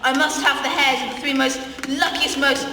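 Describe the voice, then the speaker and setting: Echoey, high-voiced gloating villainess acted by a woman. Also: fairly even intonation, normal speed, close to an everyday voice. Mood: scornful, grandiose. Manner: grandiose, theatrical villain declaiming. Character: Hermione's scheming evil twin, gloating theatrical villainess. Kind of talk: amateur radio play acting. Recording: echoey hall, distant mic, faint noise.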